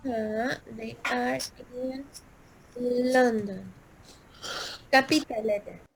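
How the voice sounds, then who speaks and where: A woman teaching an English listening lesson, speaking slowly and repeating answers with emphasis. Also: a little high, steady tone, creaky, a little hiss or hum, rough recording. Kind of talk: classroom lesson.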